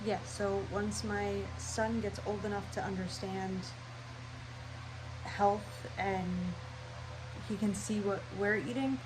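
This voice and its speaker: A woman's voice: medium pitch, steady tone, slow, noisy background. A young woman with a stuffy, nasal voice, rambling casually through her channel intro at home. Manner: scatterbrained, rambling, chatty. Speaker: vlogger.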